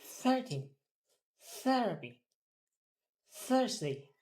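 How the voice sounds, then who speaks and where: A woman's voice: a little high, big pitch swings, drawn-out words, darkish tone. A woman carefully repeating words and reading practice sentences as a pronunciation student. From Philippines. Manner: careful, word-by-word repetition.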